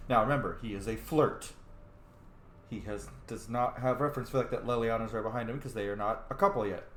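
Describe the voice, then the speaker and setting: A man's voice: mid-range voice, brisk, fairly bright tone, reverberant, a little hiss or hum. A man in his thirties giving casual, joking commentary while roleplaying a game, swearing often. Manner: chatty, profane, joking. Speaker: roleplay gamer.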